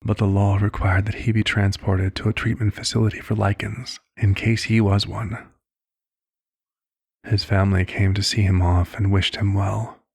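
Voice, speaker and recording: A man playing a calm, caring vampire doctor in an ASMR roleplay, speaking in a soft, measured, reflective way. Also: lowish voice, vocal fry, husky, dry recording. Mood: somber, reflective. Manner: measured, hushed, reflective storytelling. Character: calm, caring male vampire doctor recounting a past case.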